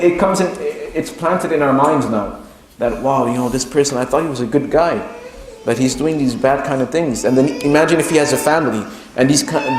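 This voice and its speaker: A man giving a religious sermon as an imam, speaking in a halting way and repeating phrases for emphasis. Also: a little high. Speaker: imam giving a talk to his congregation. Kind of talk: sermon, teaching from religious history. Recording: very echoey room, faint background noise.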